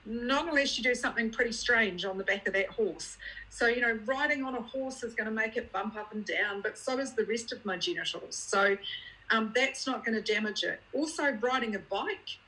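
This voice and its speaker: A woman's voice: on the high side, normal speed, pushed and bright, poor audio. A woman with a New Zealand accent, originally from Scotland, giving an educational talk as a clinician, plain-spoken and checking that listeners follow. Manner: explanatory, plain-spoken, uses props and checks understanding. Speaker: guest speaker, child abuse unit clinician.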